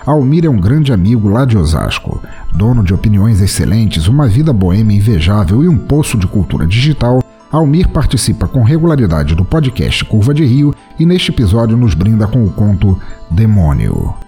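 A man with a Brazilian Portuguese accent, hosting a podcast and reading a short story aloud with theatrical, playful pauses. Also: lowish voice, sing-song, mellow, soft background music. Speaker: podcast host and story reader.

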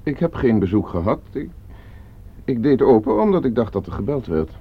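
Cold polite schemer, husky low voice, precise phrasing, radio play, slightly dull recording. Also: male voice, very animated. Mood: defensive, evasive. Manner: measured, precise, instructing, polite. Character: cool, scheming nephew hiring a killer for inheritance. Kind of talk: radio drama acting.

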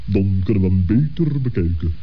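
A man's voice: low-pitched, animated, normal speed, husky. A man playing a pompous, well-meaning owl in a children's radio play, with wordy, muddled phrasing. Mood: pompous, concerned. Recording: dull 1960s radio play recording.

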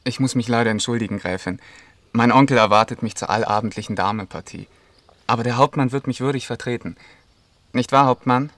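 A man's voice: medium pitch, fairly quick, a bit bright, dry recording, close to an everyday voice. A man playing a courteous young officer. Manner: polite, formal. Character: courteous young male officer, smitten and polite. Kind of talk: radio drama acting.

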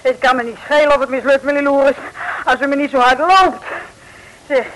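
An actress from the Netherlands playing a plucky, cheeky young detective's assistant who complains pertly in a vintage Dutch comic radio serial. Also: female voice, high-pitched, steady tone, normal speed, a bit bright, rough recording. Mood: complaining. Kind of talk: 1950s-60s comic radio serial acting. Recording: vintage radio recording with hiss.

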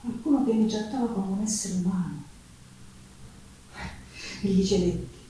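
A woman's voice: mid-range voice, mellow, a little hiss or hum, natural voice. Echoey Sicilian working woman, played by an actress, telling her life. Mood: confiding. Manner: earnest, colloquial storytelling with dialect words and pauses. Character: poor Sicilian working woman recounting her hard life, passionate, plain-spoken. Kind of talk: theatrical monologue. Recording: echoey stage recording from far off.

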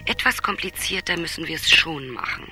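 Bossy schemer, low voice, lively intonation, confident, teasing, condescending, radio drama. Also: female voice, faint music behind, heavily acted. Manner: brisk, confident, teasingly patronising. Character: cool, scheming woman plotter, bossy and condescending. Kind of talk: German radio crime drama acting.

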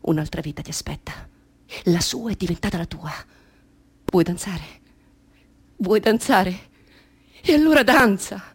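An actress playing an anguished, defiant grieving mother in radio theatre, intense and theatrical, with a husky voice that often drops to a whisper. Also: mid-range voice, unhurried, long pauses. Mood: exultant. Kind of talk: dramatic radio theatre monologue.

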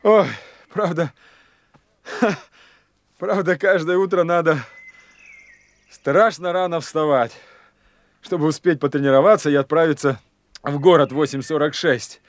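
An actor playing an eager, flustered young golf fanatic in a radio play, hesitant and muttering to himself. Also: male voice, a little high, steady tone, unhurried, long pauses, no echo, clean studio sound, close to an everyday voice. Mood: self-coaching, eager. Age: young adult. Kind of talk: Russian radio play acting.

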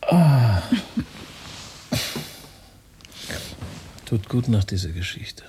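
A man acting a shaken radio journalist in a naturalistic radio play, speaking in a grave, deliberate, sardonic way. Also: fairly low pitch, lively intonation, noisy background. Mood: weary. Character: shaken male radio journalist, bitterly recounting grim findings. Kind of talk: naturalistic radio drama dialogue.

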